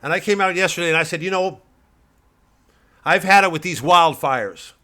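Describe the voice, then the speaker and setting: A man playing an indignant, self-important American right-wing podcast pundit, bombastic and repetitive, with dramatic pauses. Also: sits high, sharp tone. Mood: self-righteous. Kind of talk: satirical political podcast monologue.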